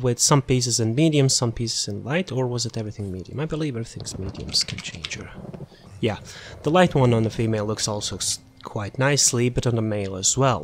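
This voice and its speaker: A man giving casual, unscripted video game guide commentary, rambling and thinking aloud as he goes. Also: medium pitch.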